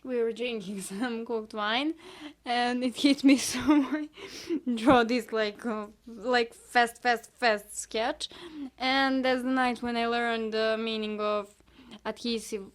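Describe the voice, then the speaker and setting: Young woman, Serbian accent, non-native English speaker; relaxed, halting talk with a slightly high voice. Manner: hesitant, many fillers, self-critical asides. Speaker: artist showing her sketchbook. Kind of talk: casual sketchbook tour chat.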